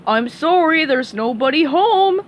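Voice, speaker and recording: A woman voicing a dim, good-natured male starfish who talks loudly and slow-wittedly. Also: fairly high pitch, big pitch swings, lo-fi sound, a little hiss or hum. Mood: fibbing.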